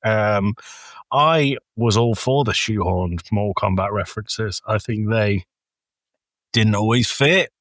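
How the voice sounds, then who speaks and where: A man gives casual, blunt opinions as a podcast co-host. His voice sits at an average pitch, and the recording is dry and close. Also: a bit bright, dry recording. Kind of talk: casual chat, film opinions.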